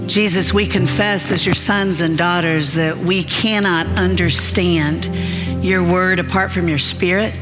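A woman nearing sixty preaches on a big church stage, mixing earnest prayer with self-deprecating humor. Her voice rings out with a long hall reverb while soft music plays faintly behind her. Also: lowish voice. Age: 50s. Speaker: Bible teacher. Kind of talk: prayer and sermon storytelling. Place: large church stage with live audience.

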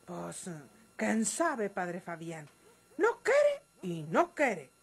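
A woman's voice: medium pitch, huge pitch swings, very slow, gravelly, husky, exaggerated voice. An actress playing a stubborn, folksy woman with a rural Mexican accent in a radio drama. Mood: earnest, evasive.

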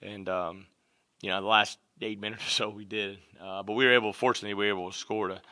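A middle-aged man, a basketball coach, answering questions at a postgame press conference from behind a desk mic, measured and full of "um" and "you know". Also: on the low side, fairly even intonation, bright, forceful tone, no room sound, studio quality. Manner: measured, lots of "um" and "you know", repeats phrases. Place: press room, seated at a table before a logo backdrop. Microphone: desk mic on a stand in front of him.

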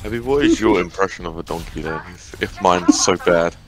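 A man's voice: deep voice, a little fast, noisy background. A man chatting casually while gaming, teasing and swearing as he goes. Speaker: gamer in group voice chat. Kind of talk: casual joking game chat.

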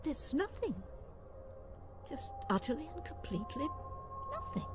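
Bossy, high-voiced housekeeper. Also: female voice, sing-song, a little slow, over music. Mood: puzzled. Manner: bossy, matter-of-fact. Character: no-nonsense, scolding woman keeping house for a wizard. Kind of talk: radio drama acting.

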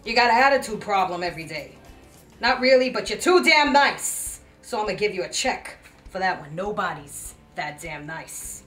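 A woman's voice: fairly low pitch, moderate pace, a bit bright, faint music behind, close to an everyday voice. A woman playing a mean, petty store manager, scolding and threatening in a comedy sketch. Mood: scolding, menacing. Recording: echoey room, distant mic.

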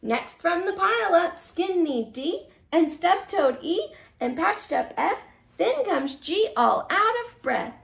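A woman preschool teacher reads a picture book aloud in a very high voice. Her delivery is slow and sing-song, with big swoops of pitch. She exaggerates the storytelling for young children. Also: big pitch swings, vocal fry, fairly bright tone. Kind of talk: animated children's read-aloud. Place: small living room, seated on a couch.

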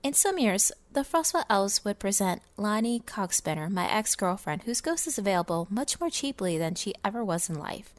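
A miserly, self-satisfied businessman, acted out by a woman tells his holiday tale from a fantasy storybook. The delivery is theatrical and pompous, full of scorn, in a medium-pitched voice with a dry, close sound. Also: big pitch swings, moderate pace, few pauses, no echo, natural voice. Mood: scheming, aggrieved. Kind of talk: dramatic reading of fantasy game lore.

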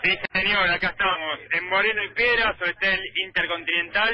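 A man's voice: high-pitched, fairly even intonation, fairly quick. An Argentine man reporting live from location for a radio show. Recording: muffled live remote phone link.

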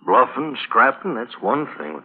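An actor playing a repentant, plain-spoken cowhand, earnest, in an old-time radio western. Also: male voice, mid-range voice, sing-song, a little slow, husky. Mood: earnest, uneasy. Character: repentant cowhand warning a former foe. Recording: muffled, narrow vintage radio recording.

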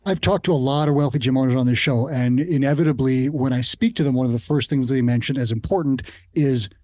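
A middle-aged man hosts a business podcast, talking in a measured, conversational way. His voice is recorded dry and close on a studio microphone, with no room sound around it. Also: medium pitch, darkish tone, no room sound. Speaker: podcast host. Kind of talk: casual podcast interview. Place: home studio with shelves. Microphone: studio podcast mic with headphones.

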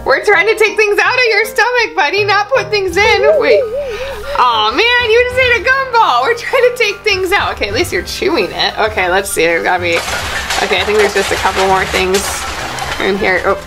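A woman's voice: very high voice, expressive, fairly quick. A woman in her thirties chatting playfully in an improvised kids' skit, exclaiming in mock disbelief. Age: 30s. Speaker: kids' channel host acting as a doctor in a skit. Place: small indoor room. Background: music.

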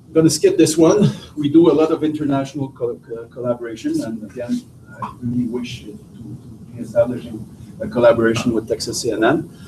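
A man's voice: mid-range voice, mellow, distant mic, slight background noise. French-accented man lecturing in a roomy hall. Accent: Québécois French. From Quebec, Canada. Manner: halting, many restarts and fillers. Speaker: guest lecturer, professor. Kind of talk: academic seminar talk with slides. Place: lecture room.

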